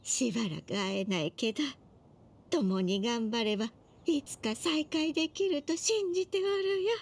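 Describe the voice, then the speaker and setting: A woman's voice: a little high, unhurried, darkish tone, breathy edge. A woman voicing a tender, doting elderly grandmother in a video game, with folksy, soothing old-person speech. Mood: hopeful. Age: older. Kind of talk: Japanese video game voice acting.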